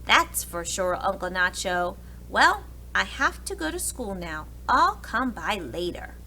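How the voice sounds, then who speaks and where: A woman's voice: mid-range voice, sing-song, a little slow, pushed and bright, a little hiss or hum, exaggerated voice, rough recording. A woman voicing a cheerful, caring schoolgirl niece in a storybook, with a chirpy, exclamatory delivery. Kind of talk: storybook character voice.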